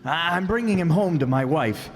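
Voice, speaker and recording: A man's voice: a little high, animated, normal speed, lightly acted. A man playing John, a grumbling, put-upon and exhausted husband, in an old-time radio comedy staged live in a reverberant hall. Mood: flustered. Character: John, a grumpy, exhausted middle-aged husband. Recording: live stage recording with long reverb and faint noise.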